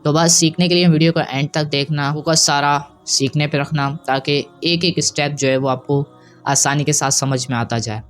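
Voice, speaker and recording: A man hosting a casual, step-by-step software tutorial. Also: a little high, steady tone, normal speed, soft background music. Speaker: tutorial host.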